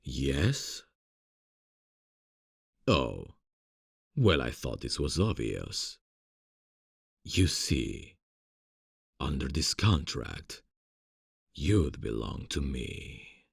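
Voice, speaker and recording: A man playing a seductive, possessive devil in an ASMR fantasy roleplay, coaxing formally in a gravelly, husky voice into a close microphone. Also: very deep voice, very animated, no room sound, full character voice. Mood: amused, smug. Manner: slow, deliberate, coaxing, formal. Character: seductive, possessive male devil offering a soul contract. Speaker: voice actor. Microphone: close studio mic, dry.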